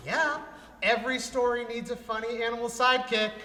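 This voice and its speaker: A man's voice: very high voice, fairly even intonation, brisk, pushed and bright. A man acting a cheeky talking wolf, a funny animal sidekick in a live radio play. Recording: noisy echoey hall with long reverb.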